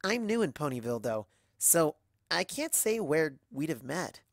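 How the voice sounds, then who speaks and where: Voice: medium pitch, big pitch swings, moderate pace, creaky, no echo, clean studio sound, voice pushed up. A performer voicing an earnest, polite, somewhat puzzled young unicorn mare in a storybook reading, hesitant and courteous. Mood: awkward.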